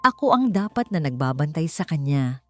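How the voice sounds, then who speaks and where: A woman's voice: low-pitched, big pitch swings, moderate pace, a little mellow, faint music behind. Woman voicing an anguished, guilt-ridden young caregiver. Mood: grieving, guilty.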